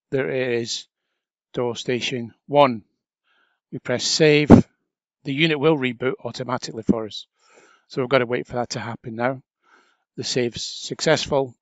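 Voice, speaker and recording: A man narrating a software tutorial step by step in an informal, chatty, explanatory way. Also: medium pitch, no echo, dull audio. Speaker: trainer.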